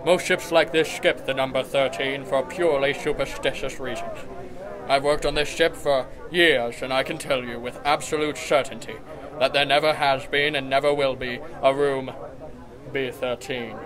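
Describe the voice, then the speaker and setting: A man's voice: mid-range voice, steady tone, normal speed, natural voice, low-quality recording. A man playing a courteous, rational and firmly certain ship's doctor in a radio horror play. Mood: firm, skeptical. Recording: music under the voice.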